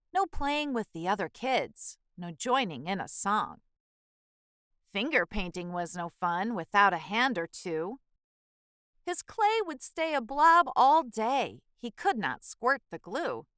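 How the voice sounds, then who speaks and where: A woman reading a children's picture book aloud in a measured, expressive storytelling manner. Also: medium pitch, clean tone, dry recording, clean studio sound. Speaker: children's book reader and channel host.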